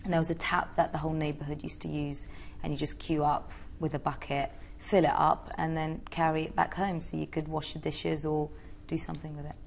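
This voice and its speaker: A woman's voice: deep voice, level tone, brisk, creaky, a little hiss or hum. A young woman from Georgia answering interview questions in a chatty, hesitant way with many fillers. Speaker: interviewee, singer.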